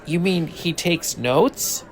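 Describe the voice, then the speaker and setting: Slow-talking, high-voiced teen wisecracker played by a man. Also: a faint crowd. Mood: wisecracking. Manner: blunt, joking. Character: wisecracking, blunt American high school boy. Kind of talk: audio drama acting.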